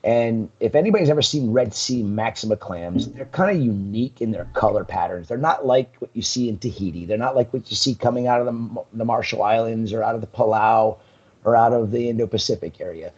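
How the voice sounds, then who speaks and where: A man's voice: on the low side, animated, a little fast, a little mellow, breathy edge, muffled recording. A man, a guest on a live talk stream, telling rambling stories full of ums over a video call. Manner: rambling storyteller, many ums, laughs often. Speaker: guest, coral business owner. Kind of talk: casual live-stream chat.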